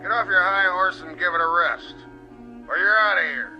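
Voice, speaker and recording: A man acts a blunt, dismissive character in a radio drama. His high voice comes curtly through a muffled telephone line, with music clearly behind it. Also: normal speed, lightly acted. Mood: curt, threatening. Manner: curt, scornful.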